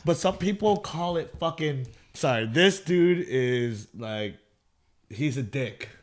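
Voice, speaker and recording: A man's voice: mid-range voice, expressive, takes it slowly. A young man chatting casually on a group podcast, speaking emphatically and bluntly with slang and frequent swearing.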